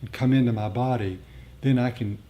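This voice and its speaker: A man teaching meditation in a talk with questions and answers, speaking in a halting, reflective, explanatory way. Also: steady tone, lo-fi sound. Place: group meditation gathering. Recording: rumbly background noise.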